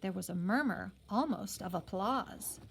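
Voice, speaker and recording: A woman reading a novel aloud as a teacher would, in an expressive storytelling style. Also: mid-range voice, unhurried, creaky, slight background noise. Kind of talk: expressive story read-aloud with brief explanatory asides.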